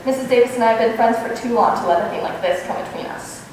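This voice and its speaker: A woman playing a wry, sharp-tongued high school teacher in an old-time radio comedy, with a dry, wisecracking delivery. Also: quick pace, natural voice. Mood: worried. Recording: echoey hall from far off, faint hiss.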